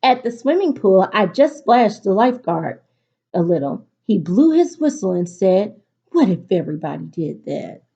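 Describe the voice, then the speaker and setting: A woman teacher reading aloud to students in an expressive, instructive storytelling delivery. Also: medium pitch, normal speed, slightly rough. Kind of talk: picture-book read-aloud for a virtual class. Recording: dull, lo-fi recording.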